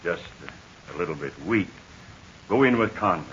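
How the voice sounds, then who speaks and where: A worn-out stranded pilot speaks haltingly in a 1940 radio serial. His voice sits low and tired. The transcription is muffled with faint hiss. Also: lively intonation, a bit bright. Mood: weary. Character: weak stranded adult male pilot, major. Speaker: Major Steele. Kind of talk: 1940s radio acting.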